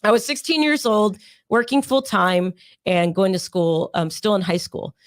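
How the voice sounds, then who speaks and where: Raspy-voiced woman telling her story. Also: medium pitch, moderate pace, dry recording, clean studio sound. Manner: rambling, chatty, many fillers. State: hoarse from sinus pressure. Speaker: guest. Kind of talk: casual interview storytelling.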